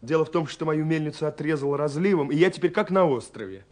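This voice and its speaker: A man's voice: on the high side, animated, fast, close to an everyday voice. An actor playing a romantic, dreamy young man who speaks his love letters in a lyrical, intimate radio play monologue. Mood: excited. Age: young adult. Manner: lyrical, intimate, swinging between hushed and exclaiming. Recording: slightly husky-sounding 1979 radio recording. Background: soft music.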